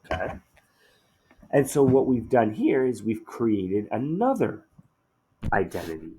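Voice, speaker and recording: A man teaching a math lesson in a relaxed, conversational way, slightly hoarse after a day of shouting, often checking in with "okay?". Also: lowish voice, unhurried, a little hiss or hum.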